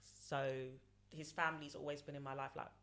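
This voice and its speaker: A woman's voice: low voice, flat delivery, normal speed, fairly bright tone. A woman in her late thirties talks as a podcast guest. She wanders through her answers, circling back and repeating phrases with plenty of fillers. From London, England. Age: 30s. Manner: rambling, repeats herself, many fillers. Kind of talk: casual interview answers.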